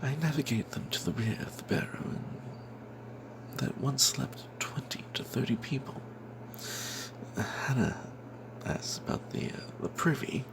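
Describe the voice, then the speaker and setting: A man telling a fantasy tabletop tale as whispered ASMR, in a breathy, halting way. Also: medium pitch, background noise.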